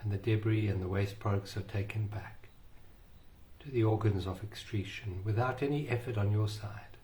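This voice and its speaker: A man guiding a meditation in a slow, soothing way with long pauses. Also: on the low side, fairly even intonation, warm tone, a little hiss or hum. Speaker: meditation guide. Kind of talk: guided meditation.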